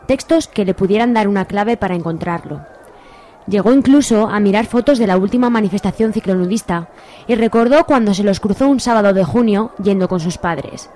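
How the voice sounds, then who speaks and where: A woman's voice: medium pitch, dark tone, a little hiss or hum. A woman with an accent from Spain reading scripted narration for a radio drama.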